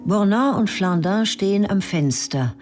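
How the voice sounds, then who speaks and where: A woman's voice: medium pitch, lively intonation, a little slow, darkish tone, soft background music. A woman narrating a radio drama in clipped, fragmentary present-tense phrases.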